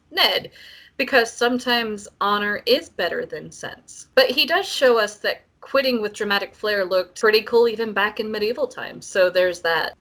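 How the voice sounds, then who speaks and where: A woman's voice: mid-range voice, sharp tone. A woman co-hosting a casual book discussion, talking in an informal, wry way. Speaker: podcast co-host.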